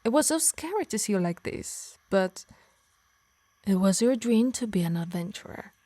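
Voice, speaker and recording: Woman acting a soft, possessive yandere harpy, intimately close. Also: mid-range voice, sing-song, dry recording. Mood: tender, lovestruck. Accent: Italian. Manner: soft and breathy, slipping into whispers, slow menacing emphasis. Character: possessive yandere harpy woman, tender yet menacing, obsessed. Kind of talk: intimate ASMR roleplay acting.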